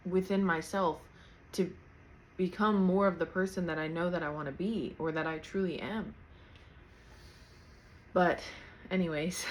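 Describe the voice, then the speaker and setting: A woman's voice: lowish voice, fairly bright tone, a little hiss or hum, poor audio. A thirty-year-old woman hosting her own podcast at home, talking candidly and loosely without a script, laughing lightly now and then, picked up by her camera's built-in mic. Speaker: podcast host. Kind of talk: unscripted casual personal talk. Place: at home, in pajamas. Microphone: camera's built-in mic, external mic unplugged.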